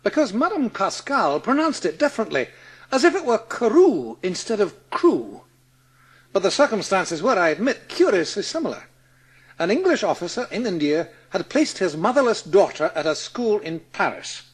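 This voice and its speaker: Casting: male actor for reassuring middle-aged gentleman advisor, high register, lively inflection, earnest and reasonable, audiobook drama. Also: normal speed, slightly rough, exaggerated voice. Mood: considered. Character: sensible, reassuring gentleman advisor and family man.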